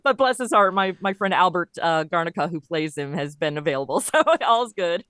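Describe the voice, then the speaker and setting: A woman chatting casually as a podcast guest, telling things in an animated, conversational way and voicing bits of dialogue as she goes. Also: on the low side, a little fast, no echo, studio quality. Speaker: podcast guest, audio drama creator and voice performer. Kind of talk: casual interview chat.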